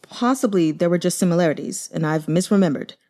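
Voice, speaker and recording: A woman's voice: lowish voice, quick pace, warm tone, natural voice. A woman voicing a cold, condescending, money-loving male master physician in an audiobook story, curt and unruffled. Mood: thoughtful.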